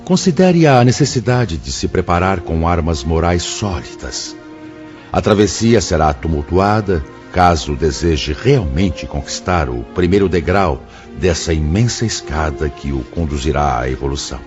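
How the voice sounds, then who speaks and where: A Brazilian actor playing a solemn, kindly spirit mentor in a radio drama, speaking in a measured, formal, sermon-like way. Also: male voice, deep voice, expressive, a little mellow. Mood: solemn, exhorting. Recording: dull lo-fi audio with background music.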